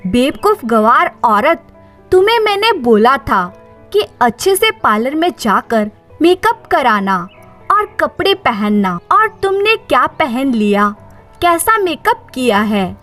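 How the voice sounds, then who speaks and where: Arrogant rich husband played by a female narrator: domineering, contemptuous, high-pitched, deliberate pace. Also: sing-song, a bit bright, soft background music, exaggerated voice. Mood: furious, contemptuous. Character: abusive, arrogant rich husband, adult man.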